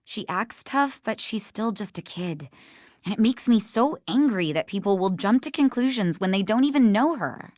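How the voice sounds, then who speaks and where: A woman's voice: medium pitch, expressive, moderate pace, a little mellow, no echo, studio quality, close to an everyday voice. An actress playing a busy, caring woman in a video game, protective yet helpful, with a casual, earnest manner. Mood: worried, indignant.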